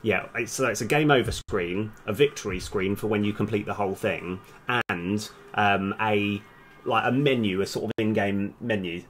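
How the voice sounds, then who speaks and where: A man's voice: lowish voice, moderate pace, slight background noise. A man thinking aloud in a casual, hesitant, self-deprecating way while live streaming as a game developer.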